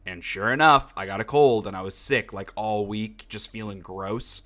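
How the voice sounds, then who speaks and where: A man's voice: on the low side, normal speed, a bit bright. A young man hosting a casual podcast, congested from a cold and rambling with lots of fillers. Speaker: podcast host. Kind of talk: casual chat.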